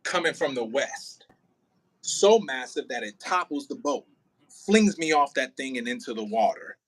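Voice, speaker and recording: A man's voice: mid-range voice, expressive, measured pace, slightly rough, rough recording. A man directing an audio play rehearsal, casually coaching an actor and expressively demonstrating lines. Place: indoors near a window, on a remote call.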